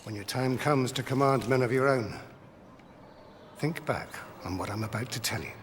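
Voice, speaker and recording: A man's voice: mid-range voice, close to an everyday voice. Man acting a grave Roman father, noisy backdrop. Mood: grave, fatherly. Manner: grave, measured, solemn storytelling. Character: stern, noble Roman father and veteran commander. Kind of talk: dramatic video game cutscene acting.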